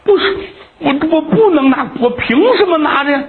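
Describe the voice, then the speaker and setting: A man's voice: very high voice, fast talker, creaky, a little hiss or hum. A man playing an honest young peddler who stammers in a flustered, raised voice. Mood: stammering. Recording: tinny phone-like sound.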